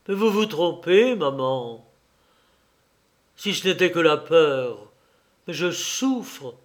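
A tormented, frightened young man in a literary story, acted by a male narrator. He pleads with his mother in agitation, his voice fairly high and his delivery slow. The tone is dramatic and desperate. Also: lively intonation. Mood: desperate pleading.

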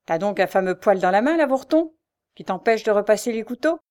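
A woman's voice: high-pitched, sing-song, normal speed, voice pushed up. A woman voicing a vicious old beggar woman who bullies her son, shrieking and coarse. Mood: furious. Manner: screeching, coarse, snarling.

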